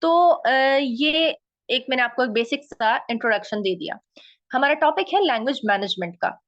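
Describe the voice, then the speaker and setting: Brief: higher-voiced Pakistani Urdu-accented woman, researcher teaching patiently, dull lo-fi recording. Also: expressive, moderate pace. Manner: frequent "okay?" checks, mixing in English phrases. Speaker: linguistics researcher. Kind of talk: explanatory talk. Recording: dull lo-fi audio.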